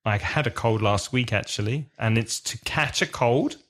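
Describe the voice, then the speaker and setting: A man hosting an English lesson podcast, explaining carefully in a slow, clear teacher's manner. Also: mid-range voice, a bit bright. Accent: British.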